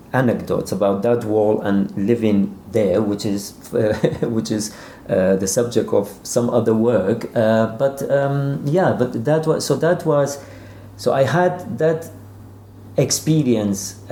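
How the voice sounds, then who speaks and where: Mellow-voiced man speaking haltingly in a roomy space. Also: mid-range voice. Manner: halting, searching delivery with repeated words. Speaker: novelist being interviewed. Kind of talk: informal literary discussion. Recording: roomy echo with faint background noise.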